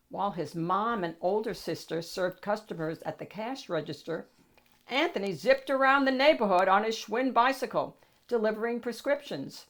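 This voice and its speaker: A woman narrating a children's picture book in an expressive, emphatic storytelling manner with deliberate phrasing. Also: mid-range voice. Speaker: storybook reader, read-aloud host.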